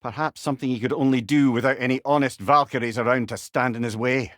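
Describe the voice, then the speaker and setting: An actor playing a wise, wry old Scottish storyteller and companion in a video game, speaking eloquently with a lilt. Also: male voice, medium pitch, steady tone, quick pace, no echo, studio quality, lightly acted. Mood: earnest, informative. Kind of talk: video game character dialogue.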